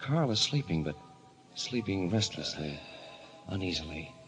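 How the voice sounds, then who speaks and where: A man narrating and announcing a 1940s radio mystery show in a theatrical, ominous, deliberate manner. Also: low voice, lively intonation, low-quality recording. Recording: vintage radio with music behind.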